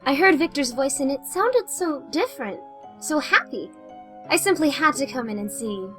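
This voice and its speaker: A woman's voice: sits high, sing-song, normal speed, pushed and bright, faint music behind, heavily acted. A woman playing a devoted, tender young fiancee in a melodramatic old-time radio style. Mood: adoring.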